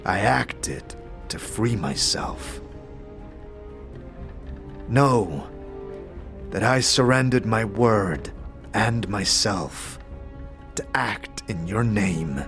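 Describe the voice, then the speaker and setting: A man voicing a weary, solemn warrior enslaved by his oath, speaking in grave, formal, halting phrases for an audio drama. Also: fairly low pitch, expressive, music in the background, heavily acted. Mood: grave, sorrowful.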